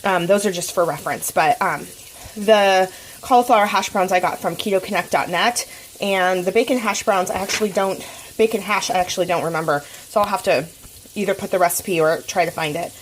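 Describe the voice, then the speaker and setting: A woman's voice: mid-range voice, fairly quick, a little hiss or hum, poor audio. Chatty woman with a cold. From Oregon. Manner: chatty, rambling with many ums. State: has a cold. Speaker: fitness vlogger. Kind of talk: casual cooking vlog. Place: home kitchen.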